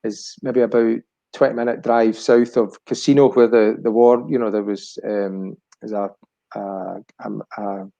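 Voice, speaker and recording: A man's voice: medium pitch, little pitch movement, moderate pace, dark tone, lo-fi sound. A Scottish man of about sixty reminiscing casually as a chat show guest, rambling and searching for words. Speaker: chat show guest, family business director.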